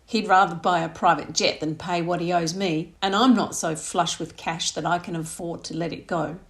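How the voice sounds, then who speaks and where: A woman voicing a smooth, self-pitying young man in an audiobook, indignant and self-justifying. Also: on the low side, fairly even intonation, moderate pace, natural voice. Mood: aggrieved.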